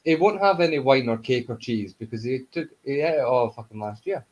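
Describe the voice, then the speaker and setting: A man's voice: medium pitch, expressive, a little fast, muffled recording, crowd noise. A man in his thirties joining in a casual live-stream chat with crude jokes and plenty of laughter. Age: 30s. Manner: jokey and crude, laughs often. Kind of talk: casual banter.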